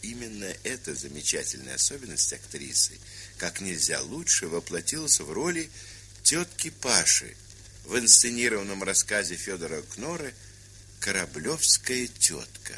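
Bright, forceful male narrator. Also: deep voice, big pitch swings, moderate pace. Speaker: narrator, presenter. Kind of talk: formal scripted narration. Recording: hissy, crackly vintage 1970s record.

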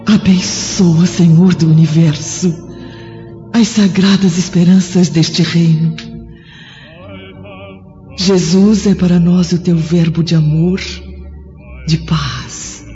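A woman's voice: lowish voice, steady tone, warm tone. An actress with a Brazilian accent playing a devout female spirit full of awe at heaven, in a solemn radio drama. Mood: prayerful. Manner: slow, rapt, declamatory. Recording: dull, reverberant radio drama over music.

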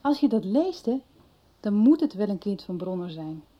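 An actress with a husky voice playing an earnest, opinionated woman in a conversational radio soap. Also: medium pitch, very animated, moderate pace. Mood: earnest, admiring. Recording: dull 1990s radio recording.

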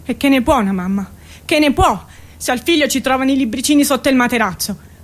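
A woman's voice: high voice, quick pace. An actress playing a brash, aggrieved working-class mother in a theatrical radio drama, complaining and pleading in colloquial, insistent repetitions. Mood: indignant, defensive. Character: brash, aggrieved working-class Italian mother defending her arrested son.

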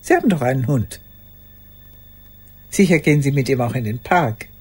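An actress playing an old woman in a radio play monologue, courteous and haunted, recalling the past in a halting, breathy, raspy voice. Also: rumbling low, animated, darkish tone, heavily acted. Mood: musing, uneasy. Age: older. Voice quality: husky, raspy, breathy, often dropping to a whisper. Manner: deliberate, reflective, halting.

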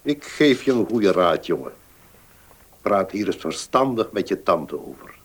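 A very low, husky voice belongs to this elderly notary in a radio play. He is weary and courteous, choosing formal words slowly and with hesitation. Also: very animated, heavily acted. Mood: fatherly, advising. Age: older. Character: weary old village notary, courteous and measured. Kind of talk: Dutch radio drama acting.

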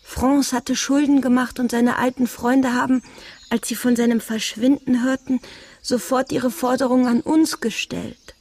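A young gentlewoman of a ruined family, wistful and dignified. Her voice sits on the high side and has a soft, husky breath to it. She speaks earnestly and with measured care, in the manner of radio drama. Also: fairly even intonation. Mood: wistful, resigned. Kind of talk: German radio drama acting.

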